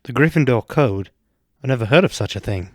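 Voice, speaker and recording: A man's voice: medium pitch, lively intonation, fairly quick, no room sound, studio quality, close to an everyday voice. A man acting a studious, wary teenage schoolboy in a fan fiction audio drama, with a sceptical, grudging manner.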